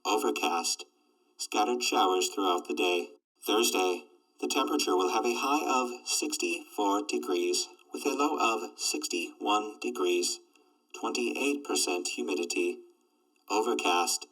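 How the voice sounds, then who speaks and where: A man's voice: lowish voice, unhurried, fairly bright tone, recorded from a distance, poor audio. A man playing an emotionless robot that announces reports in a clipped, mechanical manner for an audio drama.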